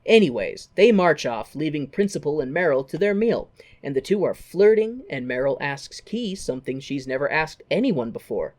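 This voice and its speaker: An irreverent podcast co-host with a mid-pitched voice recaps a movie in a casual, comic way. The delivery is conversational and sweary, with jokey asides dropped into the retelling. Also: very animated, moderate pace, darkish tone.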